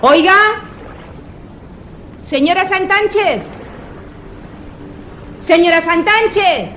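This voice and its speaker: An actress playing a polite, insistent young governess in a suspense radio drama, speaking expressively and theatrically. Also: female voice, extremely high pitch, animated, very slow, fairly bright tone, a little hiss or hum, full character voice. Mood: calling out. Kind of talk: Spanish-language suspense radio drama acting.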